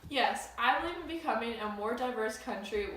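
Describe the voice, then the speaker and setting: A woman's voice: fairly high pitch, expressive, moderate pace, a bit bright. A teenage girl playing an earnest news co-anchor, reading scripted reports in an amateur newscast. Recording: very echoey room, distant mic, faint noise.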